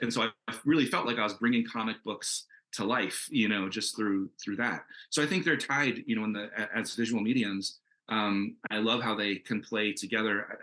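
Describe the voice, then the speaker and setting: A man with a fairly low but very bright, forward voice speaks as a creator on a casual panel. He is enthusiastic and conversational, working out his thoughts aloud as he answers. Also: low-quality recording. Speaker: comic book creator answering questions in a panel.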